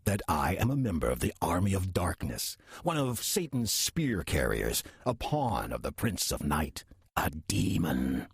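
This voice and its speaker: A man playing a wry demon in the guise of an older church usher in an audio drama, with a husky voice and dry, deadpan delivery. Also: low-pitched, no room sound, full character voice. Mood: sardonic. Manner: dry, deadpan, unhurried sarcasm. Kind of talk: audio drama acting with first-person narration.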